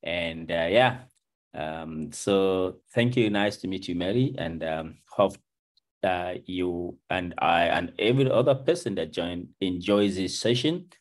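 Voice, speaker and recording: A man's voice: sits low, a little slow. A Nigerian man in his thirties leading a book club on a video call, talking casually with lots of ums and you knows. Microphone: video call webcam.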